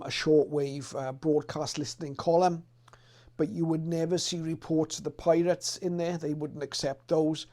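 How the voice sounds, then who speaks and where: A middle-aged man with a voice a little higher than most. He talks casually to his viewers as a hobbyist looking back, pausing and feeling his way through in a thoughtful manner. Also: warm tone. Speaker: radio hobbyist presenter. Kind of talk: casual reminiscing explainer to viewers.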